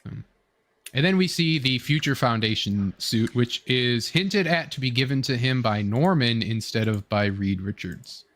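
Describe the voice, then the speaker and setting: A young adult man with an average-pitched voice hosts a casual online fan show. He rambles through his commentary, starting and restarting phrases and pausing often as he works out his point. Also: fairly bright tone. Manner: rambling, halting, fragmented phrasing.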